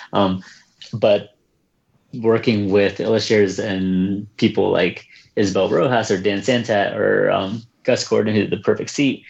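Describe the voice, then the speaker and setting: Man, reserved, soft-spoken, hesitant with fillers, fairly low voice, dull lo-fi recording. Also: creaky. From United States. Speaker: children's book author, guest. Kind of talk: casual interview answers.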